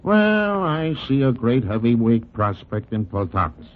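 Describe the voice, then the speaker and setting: An actor playing a scrappy, plain-spoken New York boxing manager with a husky voice in a 1940s radio drama. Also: male voice, medium pitch, big pitch swings, unhurried. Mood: matter-of-fact. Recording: muffled 1940s radio recording.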